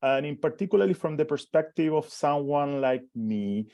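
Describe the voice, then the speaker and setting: A man's voice: fairly high pitch, animated, moderate pace, warm tone, dry recording, clean studio sound. A man in his forties from Chile with a Chilean Spanish accent, giving a conference talk as a professor, hesitant with many restarts and fillers. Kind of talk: conference presentation.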